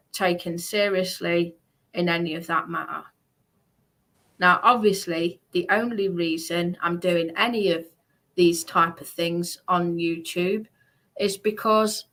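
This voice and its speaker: A woman's voice: lowish voice, bright, forceful tone. Aggrieved, emphatic woman talking on a livestream. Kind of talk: aggrieved personal talk on a livestream.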